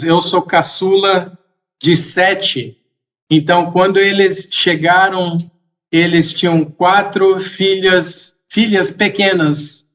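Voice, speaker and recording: Slow, high-voiced man telling a story. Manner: slow, measured, reflective, with occasional soft laughs. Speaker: podcast guest. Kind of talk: casual storytelling in an interview.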